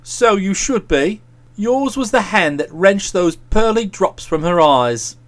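A man voicing an aggrieved, aloof young English gentleman in a storybook, speaking with cold, pompous sarcasm. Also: high-pitched, animated, slow pace, pitched below the performer's own voice. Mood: cold, reproachful.

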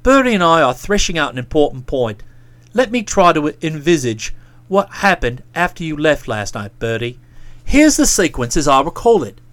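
A bluff, self-satisfied young gentleman author, as a woman reader puts him on, his voice dropped low and drawling. He lectures and explains himself at length with lively swoops of pitch. The recording is close and dry. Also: normal speed, no echo. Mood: lecturing.

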